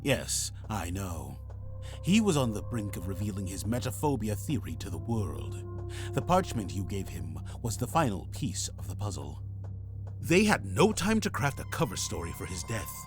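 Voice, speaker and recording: An actor playing a grave, mysterious ex-criminal informant and desperate father in an adventure game, speaking cryptically and urgently, with phrases that drop toward a hush. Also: on the low side, sing-song, normal speed. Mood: solemn, warning. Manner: cryptic, urgent, dramatic delivery with hushed line endings. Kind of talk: dramatic point-and-click adventure game voice acting. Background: music.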